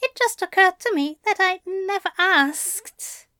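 A woman's voice: very high-pitched, a little slow, bright, forceful tone, no echo, clean studio sound, pitched above the performer's own voice, exaggerated voice. A woman voicing a prim, nervous, hesitant man in a romantic audio drama, speaking tentatively. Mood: sheepish. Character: prim, nervous, hesitant male character, flustered suitor.

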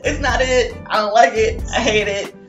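Teasing, animated woman in her twenties. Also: a little high, normal speed, echoey room, music in the background. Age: 20s. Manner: teasing, animated, laughs often. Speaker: podcast co-host. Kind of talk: casual chat.

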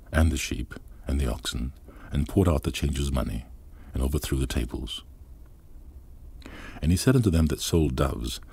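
Very deep, gravelly man reading scripture. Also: darkish tone, no room sound. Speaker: Bible reader.

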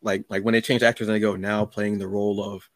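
A man's voice: fairly low pitch, little pitch movement, quick pace, no room sound. A man hosting a casual, conversational interview, often restarting his phrases and acting out little asides. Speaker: podcast host.

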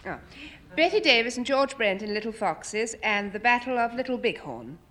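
A prim, matter-of-fact housemate who answers flatly, her voice rather high with plenty of rise and fall. It comes from an old radio sitcom recording with clear hiss and noise behind it. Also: moderate pace. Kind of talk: 1950s radio sitcom acting. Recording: noisy old radio broadcast.

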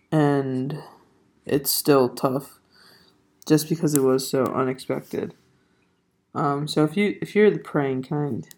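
A young adult host chats alone on a podcast, the voice still hoarse and not fully recovered. The delivery is flat and even, with little rise and fall, and it wanders from point to point with many ums and restarts. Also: medium pitch. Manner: rambling, filler-heavy, self-correcting. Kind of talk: casual solo podcast chat.

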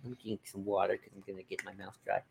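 A man's voice: deep voice, flat delivery, fast, creaky, husky. A man co-hosting a podcast, chatting casually in a loose, rambling way as he thinks aloud. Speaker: podcast co-host. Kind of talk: casual chat about books.

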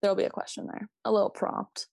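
A woman's voice: fast talker, darkish tone, no room sound, clean studio sound. Rambling Minnesotan woman podcast host. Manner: rambling, self-interrupting, laughing, swearing. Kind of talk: casual chat.

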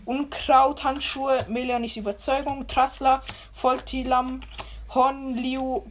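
A young woman with a high, hoarse voice reads out card after card in slangy, excitable chat. A faint hiss sits behind her. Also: slow pace. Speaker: card pack opener.

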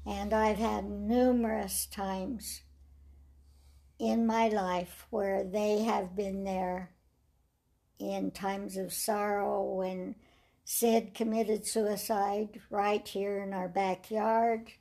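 An older woman speaks with a raspy, gravelly voice. She tells her story in a slow, measured way, letting phrases trail off softly. She sounds like she is being interviewed about her life. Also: mid-range voice, level tone.

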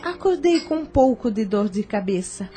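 A woman's voice: fairly high pitch, very animated, normal speed, mellow, soft background music. An actress playing a subdued, withdrawn lady of a farmhouse in a radio soap, polite and listless. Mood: subdued, weary. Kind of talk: radio soap opera acting.

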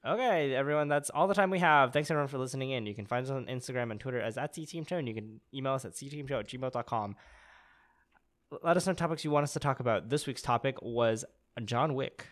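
A man hosting a podcast in casual chat, joking in a dry, deadpan way. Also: medium pitch, fast. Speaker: podcast host.

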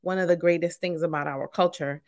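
A woman's voice: on the low side, steady tone, brisk. A woman from Brooklyn, New York, hosting a podcast and chatting casually, peppering her talk with "like" and "you know". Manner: conversational, uses "like" and "you know" often. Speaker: podcast host. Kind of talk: casual podcast interview chat.

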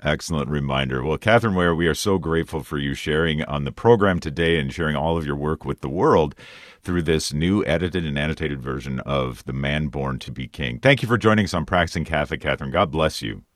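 A man's voice: a bass voice, brisk, no echo, clean studio sound. A man hosting a conversational radio interview, speaking in an effusive, chatty way. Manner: effusive, chuckles while talking. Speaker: radio show host.

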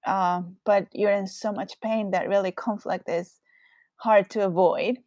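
A woman's voice: mid-range voice, fairly even intonation, measured pace, darkish tone, dry recording. A woman from Colombia with a strong Colombian Spanish accent, hosting a solo podcast in a reflective, candid way. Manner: reflective, candid, with frequent fillers. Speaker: podcast host.

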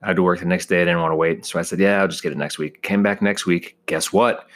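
Low-voiced American man, casual rambler. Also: fairly even intonation, fast talker, a bit bright. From United States. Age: 30s. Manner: joking, self-deprecating exaggeration. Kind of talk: casual rambling solo monologue.

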